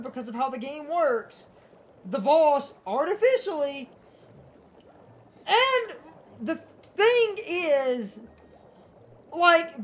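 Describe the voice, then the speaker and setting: A woman doing casual gaming commentary, explaining things in a rambling, argumentative way over game music. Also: sits high. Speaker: let's play co-commentator. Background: video game music.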